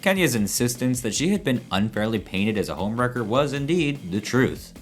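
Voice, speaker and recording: A man narrates a countdown script with a wry, punchy edge. His voice sits at an ordinary pitch but rises and falls in lively swings. Soft music plays beneath him. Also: brisk.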